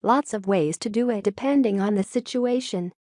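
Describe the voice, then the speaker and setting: A woman reading forum posts aloud in a steady, even reading voice. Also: medium pitch, moderate pace, dry recording, clean studio sound.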